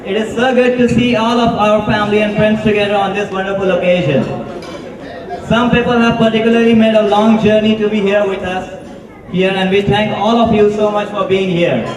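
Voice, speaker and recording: A young man with an Indian English accent and a loud voice, giving a welcome speech at his brother's wedding in a large hall. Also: high voice, fairly even intonation, brisk. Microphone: distant, picked up from far off. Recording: echoey hall from far off with crowd. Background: guests murmuring.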